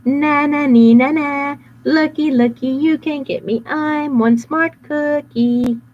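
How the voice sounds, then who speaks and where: A woman's voice: high voice, steady tone, takes it slowly, dull audio, slight background noise, voice pushed up. A woman voicing a cheeky runaway gingerbread cookie boy who chants sing-song taunts. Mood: taunting.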